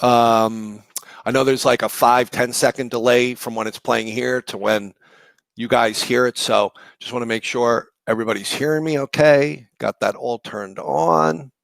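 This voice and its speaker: Raspy hoarse guy talking thru a marketing webinar, chatty, dry close mic. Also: mid-range voice, fairly even intonation, moderate pace, a bit bright, dry recording. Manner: conversational, explanatory, asks rhetorical questions. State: hoarse from shouting the day before. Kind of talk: live online presentation.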